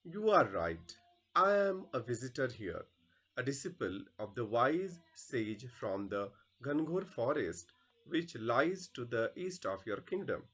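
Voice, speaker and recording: A man playing a polite, admiring disciple in a stage play, speaking in a courteous, measured way. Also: medium pitch, very animated, fairly bright tone, breathy edge, heavily acted. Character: polite, admiring male disciple visiting a kingdom.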